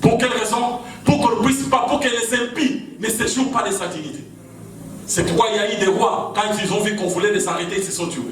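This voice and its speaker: A man from Congo with a Congolese French accent, preaching an impassioned sermon to a rally. He shouts his refrains in repeated rhythmic cadences. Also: high voice, steady tone, brisk, a little hiss or hum. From Congo (DRC). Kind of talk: fiery political sermon. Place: large echoing hall at a gathering. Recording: very echoey hall, far off, music behind. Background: music playing.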